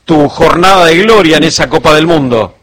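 A man's voice: fairly high pitch, normal speed, a bit bright, a little hiss or hum. A man with an Argentine accent hosting live sports talk and interviews on the radio. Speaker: radio host.

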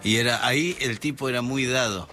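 Bright-voiced Argentine man, playful guest. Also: medium pitch, a little fast, faint music behind. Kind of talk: casual radio conversation.

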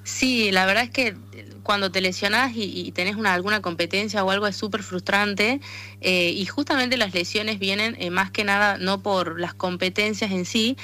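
Bright-voiced northern Argentine woman athlete. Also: mid-range voice, moderate pace. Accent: northern Argentine, Tucumán. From Tucumán, northern Argentina. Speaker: interviewed athlete, arm wrestler and association president. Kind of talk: conversational radio interview answers.

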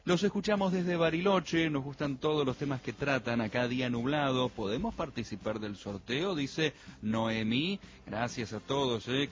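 A man's voice: fairly high pitch, highs cut off. A man with an Argentine accent co-hosting a radio show in a playful way, reading listener messages. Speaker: radio co-host. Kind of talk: casual radio talk.

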